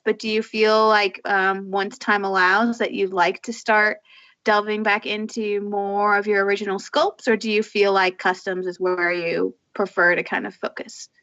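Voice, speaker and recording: A woman's voice: medium pitch, fairly even intonation, moderate pace, fairly bright tone, no echo. Woman cohost asking long chatty questions, dry muffled audio. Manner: friendly, rambling questions with fillers. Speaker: podcast co-host. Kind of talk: casual interview chat. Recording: dull lo-fi sound.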